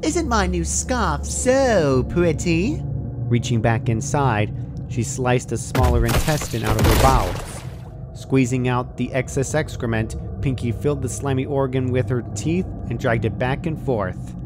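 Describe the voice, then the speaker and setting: A man's voice: medium pitch, steady tone, normal speed, noisy background. A man reading scripted horror fan fiction aloud as the narrator.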